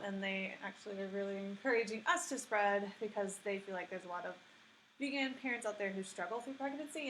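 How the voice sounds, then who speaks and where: A woman doctor answering questions as a guest in a casual live stream, speaking in a calm, measured, matter-of-fact way. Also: mid-range voice, a little hiss or hum, poor audio.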